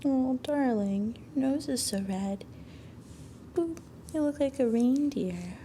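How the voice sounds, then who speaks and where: A woman playing a sweet, doting young anime girlfriend in a soothing ASMR roleplay, affectionate and gently coaxing. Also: sits high, animated, takes it slowly, a little hiss or hum. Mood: affectionate, teasing.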